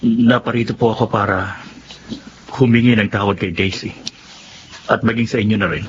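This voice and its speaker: A man's voice: on the low side, steady tone, moderate pace, lightly acted. A man playing a remorseful young husband in a Filipino radio drama. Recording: dull lo-fi audio, faint noise.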